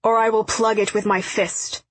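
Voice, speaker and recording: A woman's voice: mid-range voice, little pitch movement, normal speed, dry recording, close to an everyday voice. A woman voicing a stern, tormented young man who makes formal, menacing declarations in an audiobook story. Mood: cold menace. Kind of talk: audiobook character acting.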